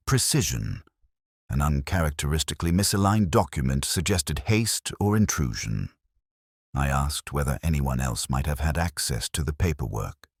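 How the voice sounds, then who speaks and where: A man narrating a detective story as a melancholy, precise older police inspector, in measured, solemn, literary phrasing. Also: rumbling low, no echo, studio quality.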